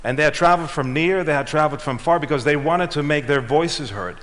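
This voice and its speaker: Middle-aged guy telling his story slow and measured, lines fade out, big reverby hall. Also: on the high side, fairly bright tone. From Switzerland and Ghana. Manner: measured storytelling, trailing off at line ends. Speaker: speaker. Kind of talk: prepared talk on stage. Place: large auditorium.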